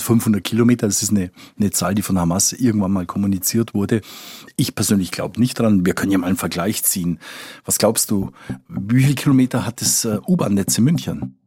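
Male foreign correspondent, podcast interviewee. Deep-leaning, rough-edged voice, conversational and explanatory with halting restarts. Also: a little mellow, no room sound, studio quality. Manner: explanatory, hesitant with repeated word starts.

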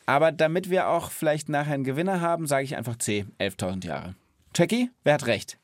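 Lively, enthusiastic male children's podcast host. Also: a little high, fairly quick, no room sound, clean studio sound. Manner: enthusiastic, informal, colloquial. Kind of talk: casual, chatty interviewing and explaining for children.

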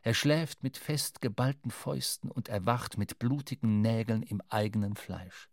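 A man narrating a literary adventure audio drama in a measured, intense, dramatic way. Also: fairly low pitch, dry recording, studio quality.

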